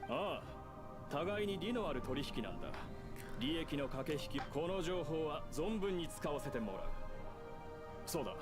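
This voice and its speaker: Scheming anime game dealmaker, highish lively voice, music behind. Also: male voice, quick pace. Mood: calculating, businesslike. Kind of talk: Japanese game voice acting.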